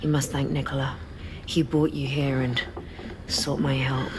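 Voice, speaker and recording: A woman playing a composed, resolute rebel leader in a Victorian game drama, with formal, measured and earnest phrasing. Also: rumbling low, level tone. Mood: calm, guiding. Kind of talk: dramatic video game cutscene acting. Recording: noisy game ambience behind the voice.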